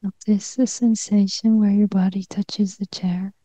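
Soft, very slow, breathy woman meditation guide. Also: mid-range voice, flat delivery, no echo, low-quality recording. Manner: gentle, breathy near-whisper, long pauses between short phrases.

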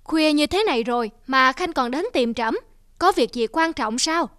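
A woman's voice: high voice, animated, normal speed, no echo, clean studio sound. Woman voicing an imperious old emperor. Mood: gracious. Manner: commanding, flares into angry shouting. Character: proud hot-tempered old male emperor. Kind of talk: cartoon voice acting.

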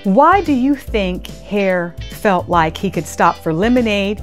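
Slow, animated woman reading a children's story. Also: medium pitch, faint music behind. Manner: animated, deliberate teacherly delivery. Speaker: storytime host reading aloud. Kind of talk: expressive children's read-aloud narration. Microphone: lapel mic.

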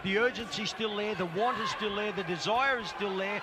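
A man with an Australian accent calling a boxing fight live from ringside, excitable and chatty with viewers. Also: high voice, brisk. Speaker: boxing commentator. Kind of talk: live blow-by-blow commentary. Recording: crowd noise and music behind.

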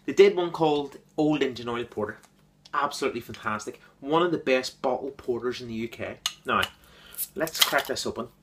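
A man giving an enthusiastic, casual beer review, repeating words and filling pauses with ums. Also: medium pitch, a little hiss or hum.

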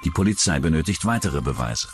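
A man's voice: rumbling low, sing-song, brisk, slight background noise. A man reading scripted narration for a true-crime documentary.

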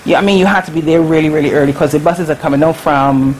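A woman from Barbados with a Barbadian accent recalls her past in an unhurried, reflective voice, pausing often with fillers. She speaks over a webcam from her living room, with a faint hiss underneath. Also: low-pitched, darkish tone. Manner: slow, reflective, halting with fillers. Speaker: interview guest. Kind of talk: casual recollection. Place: living room at home, indoors. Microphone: webcam on a video call.

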